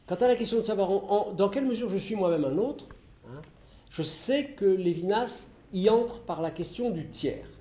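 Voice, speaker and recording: A man's voice: high-pitched, expressive, moderate pace, warm tone. A middle-aged man giving a philosophy lecture and answering audience questions, thinking aloud with many restarts and fillers. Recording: echoey hall recording.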